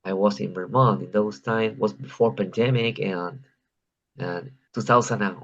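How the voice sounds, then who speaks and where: Low-voiced Peruvian man, Spanish accent, lo-fi line. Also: steady tone, a little slow, fairly bright tone. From Peru. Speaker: club member. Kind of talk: casual conversation practice.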